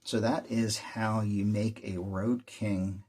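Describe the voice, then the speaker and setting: A man with a husky voice giving an unscripted, matter-of-fact hands-on technical tutorial as the host. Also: fairly low pitch, fairly even intonation, takes it slowly, darkish tone. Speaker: host demonstrating radio repair.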